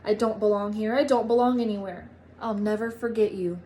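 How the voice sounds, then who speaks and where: A woman voicing a sweet, excitable grown male Christmas elf in an earnest children's storybook read-aloud. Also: mid-range voice, brisk, slight background noise, natural voice. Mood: sad, earnest. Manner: exclamatory, earnest.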